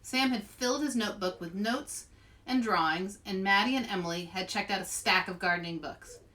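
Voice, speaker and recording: A woman reading aloud and demonstrating for children in an animated, expressive way, as a children's author and host. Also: medium pitch, normal speed, distant mic. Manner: animated, expressive delivery to young listeners.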